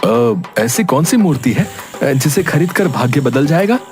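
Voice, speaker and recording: A man's voice: a little high, lively intonation, normal speed, a little mellow, faint music behind, lightly acted. A man playing a doubtful but gullible market customer, hesitant and questioning.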